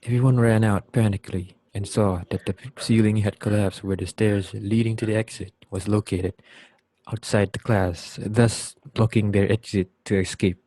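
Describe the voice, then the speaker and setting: Low-voiced young male narrator, dry sound. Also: fairly even intonation, moderate pace, warm tone, no echo. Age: young adult. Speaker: student narrator. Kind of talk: scripted narration, reader's theater.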